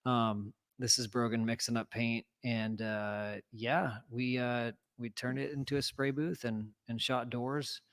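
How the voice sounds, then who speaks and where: A man telling a story in loose, casual chat. He rambles, piles up fillers and keeps restarting his sentences. His voice sits at an ordinary middle pitch for a man. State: recovering from lost voice. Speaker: co-host telling a home renovation story.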